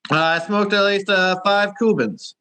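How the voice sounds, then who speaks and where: A man's voice: high-pitched, normal speed, sharp tone, smooth. A man doing a hammy put-on Italian accent that keeps slipping, improvising a comedy travel bit over a video call. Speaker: comedy correspondent.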